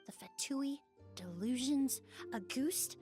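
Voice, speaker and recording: Stern, high-voiced lady investigator. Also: expressive, over music. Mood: stern, accusing. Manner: formal, measured, pointed. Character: stern, composed female investigator pressing a suspect. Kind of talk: video game story dialogue.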